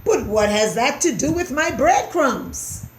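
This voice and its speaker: A woman's voice: sits high, very animated, unhurried, voice pushed up. A woman voicing a puzzled, easily indignant male mouse in a storybook, emphatic and full of questions. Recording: roomy echo, faint background noise.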